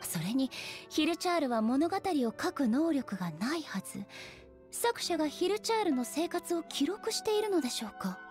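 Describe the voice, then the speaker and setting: A dignified female ruler from a fantasy game. Her voice is high and composed, with a measured, formal politeness. She sounds earnest and a little naive, over soft background music. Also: very animated. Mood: puzzled, earnest. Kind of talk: Japanese game voice acting.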